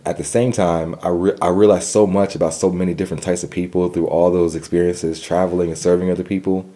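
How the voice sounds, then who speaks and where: Man, deep, mellow, stutters, repeats words, earnest interview guest. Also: flat delivery, fast talker. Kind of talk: personal story in an interview.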